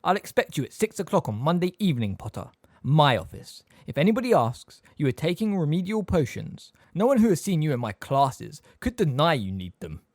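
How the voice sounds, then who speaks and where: Someone doing a sneery silky teacher guy from a storybook, waspish and smooth, lifts his pitch to taunt. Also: very animated, no room sound, studio quality. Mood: silky, sneering. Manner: sarcastic, smooth, measured, stressing words delicately.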